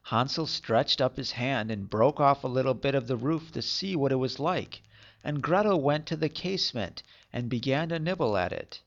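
A man with a medium-pitched voice reads a fairy tale aloud. His storytelling is expressive, and the recording sounds a little muffled and lo-fi. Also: normal speed. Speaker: storyteller, narrator.

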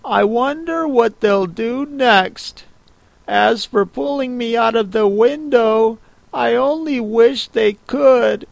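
Guy doing a prissy little girl in a storybook, high and singsong, dry close sound. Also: slow pace, vocal fry, no room sound, full character voice. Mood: wondering. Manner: prim, scolding herself. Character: young girl, curious and fussy.

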